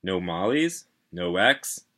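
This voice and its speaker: A man voicing a suspicious, businesslike male paramedic in a story reading, asking flat, matter-of-fact questions. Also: low-pitched, slow pace, heavily acted.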